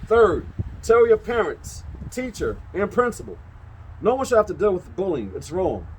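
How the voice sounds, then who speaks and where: Guy doing an upbeat lady bus driver from a kids book, high voice, emphatic advice, faint noise. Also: sing-song, normal speed, rough recording. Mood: encouraging, firm. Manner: emphatic, instructive. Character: upbeat, caring female school bus driver giving advice.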